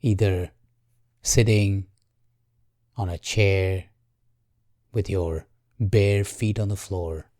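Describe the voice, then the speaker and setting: A man guiding a meditation in a calm, gentle, measured way, leaving long pauses. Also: deep voice, no room sound, studio quality. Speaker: meditation guide. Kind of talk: guided meditation, slow and soothing.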